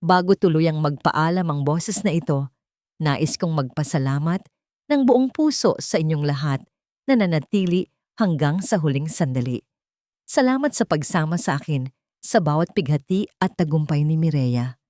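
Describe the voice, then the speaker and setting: A woman's voice: sits low, lively intonation, moderate pace, darkish tone, no echo, clean studio sound. A woman narrating a scripted sentimental story as a storytelling host, in an earnest and formal manner.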